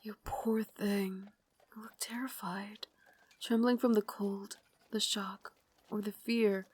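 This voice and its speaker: A woman playing a refined, tender but tormented Victorian vampire lady in an ASMR roleplay, speaking in a formal, genteel way with breathy asides. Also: medium pitch. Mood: remorseful, soothing. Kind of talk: ASMR roleplay, dramatic monologue.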